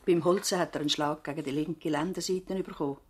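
A doctor's long-serving assistant and housekeeper in a radio play. Her voice is slightly low, the talk polite and plain, with a Swiss German accent. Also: fairly even intonation, fast, darkish tone, slightly rough. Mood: conversational. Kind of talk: radio drama acting, conversational.

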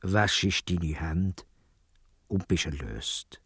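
A man's voice: low voice, animated, fairly bright tone, slightly rough, no room sound, studio quality, pitched below the performer's own voice, full character voice. Man voicing a kindly, solemn Swiss German god. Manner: slow, deliberate, kindly. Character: friendly Greek wine god giving solemn instructions.

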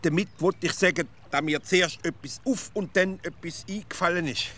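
Cocksure Swiss wiseacre, fairly high-voiced. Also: male voice, moderate pace, pushed and bright. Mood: explaining. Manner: pedantic, self-important, folksy. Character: cocksure provincial male everyday philosopher giving absurd advice. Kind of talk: comic radio play dialogue.

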